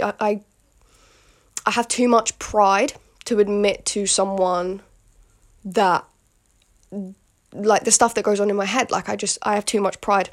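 British woman, late teens, podcast host; gravelly fry, rambling candid delivery with lots of fillers. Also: mid-range voice. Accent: British English. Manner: rambling and candid, with many fillers and frequent asides to the listener. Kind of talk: casual solo podcast monologue.

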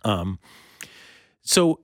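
A man's voice: very slow, a bit bright, no room sound, studio quality. A middle-aged man with a North American accent hosting a podcast in a casual, conversational interview style. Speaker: podcast host.

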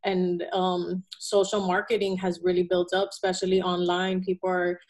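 A woman's voice: fairly low pitch, completely flat delivery, normal speed, a little mellow. A young woman from Venezuela, a guest in a casual interview, talking earnestly with fillers and self-corrections.

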